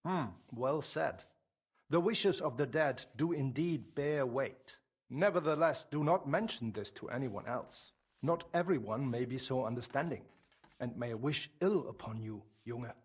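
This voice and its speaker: An actor playing a courteous, probing guild elder in a video game, with a German accent and a formal, measured manner. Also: male voice, medium pitch, breathy edge. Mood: grave, cautioning. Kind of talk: video game dialogue acting.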